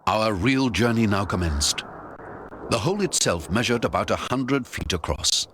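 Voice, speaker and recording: A man's voice: on the low side, very animated, normal speed, background noise. A man narrating a story in the first person, in a dramatic, theatrical storytelling style. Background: music.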